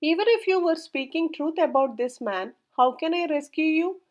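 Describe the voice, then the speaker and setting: A woman's voice: high voice, expressive, moderate pace. A woman reading as a humble male Brahmin scholar. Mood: wary. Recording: dull lo-fi recording.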